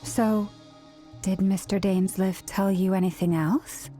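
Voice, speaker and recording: A woman playing a level-headed, protective young adventurer in a video game, speaking earnestly and gently. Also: medium pitch, fairly even intonation, slow, faint music behind, lightly acted. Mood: concerned. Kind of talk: video game character voice acting.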